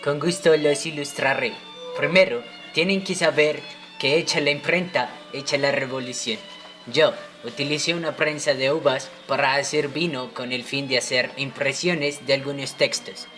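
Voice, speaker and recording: A man's voice: medium pitch, fairly even intonation, normal speed, bright, forceful tone, soft background music, natural voice. A man playing a courteous inventor from centuries ago, speaking formally in an amateur radio drama. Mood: courteous, proud.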